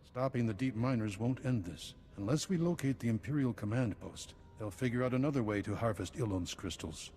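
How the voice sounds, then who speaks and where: An actor playing a calm male Jedi master who speaks in a measured, solemn manner in a video game cutscene. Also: medium pitch, long reverb tail, natural voice.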